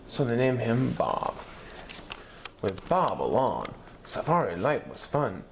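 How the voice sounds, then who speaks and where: A man reading a children's picture book aloud in an animated storytelling manner, putting on voices for characters. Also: medium pitch, takes it slowly, gravelly, slight background noise. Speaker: story reader.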